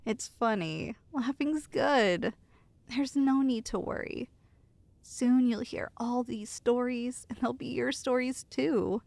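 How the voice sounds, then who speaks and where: Woman acting as tender, teasing girlfriend, slightly creaky voice. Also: a little high, slow pace, a little mellow, no room sound. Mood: warmly amused. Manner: tender, playful, teasing, reassuring. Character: affectionate teasing girlfriend soothing her nervous partner. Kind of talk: ASMR roleplay, intimate acted monologue.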